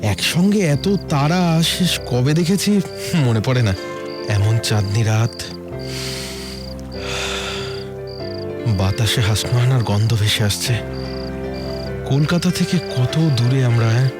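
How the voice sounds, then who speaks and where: An actor playing an enthusiastic, romantic, easily thrilled holiday guest in an effusive audio drama style. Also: male voice, medium pitch, expressive, measured pace, close to an everyday voice. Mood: dreamy delight. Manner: effusive, savouring. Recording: music behind, chirping crickets.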